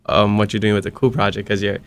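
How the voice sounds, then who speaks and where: Low smooth-voiced man hosting a chat. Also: steady tone. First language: Hawaiian. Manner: earnest, encouraging, quick asides. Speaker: podcast host. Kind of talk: casual interview chat.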